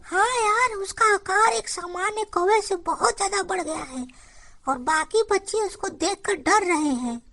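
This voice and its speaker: A woman voicing a fretful but brave auntie sparrow in a children's cartoon, concerned and expressive, with music behind her. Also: extremely high pitch, a little slow, exaggerated voice. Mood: earnest. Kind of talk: Hindi children's cartoon voice acting.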